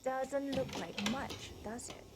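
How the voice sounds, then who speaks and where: A woman playing a composed, businesslike guide at a secretive agency in a game, speaking in a formal, measured, official way. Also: sits high, noisy background. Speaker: facility guide. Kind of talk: scripted game dialogue.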